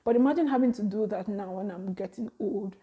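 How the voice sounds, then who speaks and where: A Nigerian woman in her thirties talking casually to camera, chatty and quick to laugh, with animated exclamations. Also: mid-range voice, highs cut off. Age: 30s. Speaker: vlogger.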